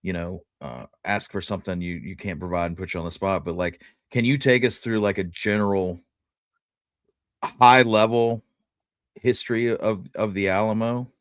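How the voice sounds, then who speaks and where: A man's voice: low-pitched, normal speed, no echo, clean studio sound. A man hosting a casual conversation, speaking informally with loose, conversational phrasing. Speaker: host. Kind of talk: casual interview chat.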